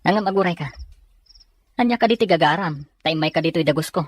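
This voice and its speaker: A man playing a blunt, scolding young buddy in an audio drama, reproachful and questioning, with a slightly rough voice. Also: fairly high pitch, quick pace, lightly acted. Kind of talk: Ilocano audio drama acting.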